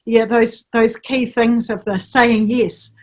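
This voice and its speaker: A woman with a fairly high voice hosts a networking meetup. She responds to the speaker warmly and reflectively, often leaning on filler phrases like "you know". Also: fairly even intonation, takes it slowly. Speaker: host.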